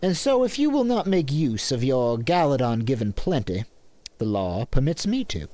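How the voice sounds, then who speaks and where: An actor playing a smooth-talking, entitled male elf landowner in a fantasy audio drama, pompous and formally polite. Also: mid-range voice, sing-song, moderate pace, mellow, natural voice. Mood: smugly polite.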